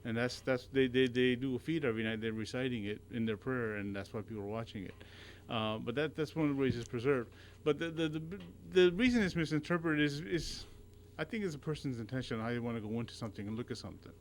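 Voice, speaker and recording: Earnest, halting man on a talk show. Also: medium pitch, slight background noise. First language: not Arabic. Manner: halting, with many restarts and repeated words. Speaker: radio show guest. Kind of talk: casual radio conversation.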